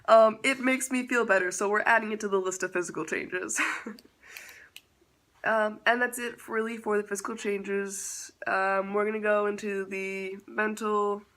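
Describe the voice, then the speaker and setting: A man's voice: sits high, bright, forceful tone. A man chatting casually in a vlog update, self-teasing and laughing often, with a stuffy nose from a slight cold. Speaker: vlogger.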